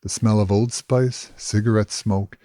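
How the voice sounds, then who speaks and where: A man's voice: fairly low pitch, fairly even intonation, a little slow, dark tone, no room sound. A man narrating a scripted first-person story. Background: music.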